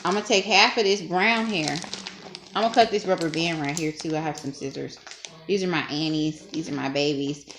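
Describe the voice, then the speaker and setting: A woman's voice: low-pitched, fairly quick. Chatty young woman in an echoey room. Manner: chatty, self-teasing, laughs often. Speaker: hair tutorial vlogger. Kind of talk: casual talk-through tutorial. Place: small dim room at home. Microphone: camera propped low in front. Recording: echoey room, faint noise.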